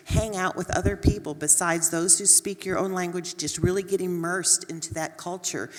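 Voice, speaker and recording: A woman's voice: sits low, darkish tone. A woman with a Texas accent, a nursing dean speaking on a panel in an earnest, encouraging, conversational way. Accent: Texan. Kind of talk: panel talk. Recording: long hall reverb.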